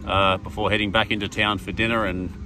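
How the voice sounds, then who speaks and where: A man in his thirties talking casually to his phone camera outdoors on a beach, relaxed and a little cheeky. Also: lowish voice, brisk, soft background music. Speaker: travel vlogger. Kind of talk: casual selfie talk to camera. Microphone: phone camera at arm's length.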